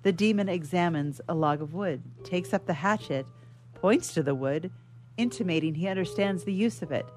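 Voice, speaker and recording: A woman reading scripted stage directions as narrator of a period drama, in a measured, formal manner. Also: on the low side, darkish tone.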